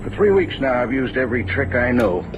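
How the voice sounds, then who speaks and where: An actor playing a tired, worried investigator in his sixties in an old radio drama, halting and formal. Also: mid-range voice, very animated, dull audio, background noise, natural voice. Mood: troubled, guarded. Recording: old radio recording with music behind.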